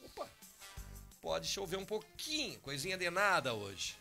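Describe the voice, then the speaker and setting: A hoarse man, hosting a morning radio show in easygoing chat at a studio microphone. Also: high voice, huge pitch swings, a little slow, sharp tone, soft background music. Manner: chatty, easygoing, talks over cohost.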